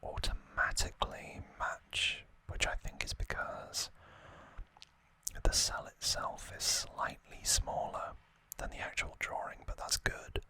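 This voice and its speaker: Man whispering ASMR, sharp and breathy. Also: takes it slowly, poor audio. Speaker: ASMR video host. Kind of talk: ASMR, unscripted unboxing commentary.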